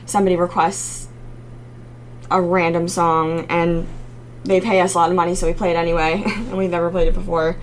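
A young woman with a raspy voice, a singer-songwriter guest chatting casually in an interview with frequent ums. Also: fairly low pitch, level tone, fairly quick, a little hiss or hum, rough recording. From Maryland, United States.

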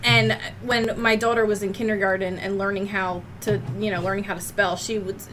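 A woman co-hosting a podcast with wry, quick-witted casual chat. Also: mid-range voice, level tone, noisy background.

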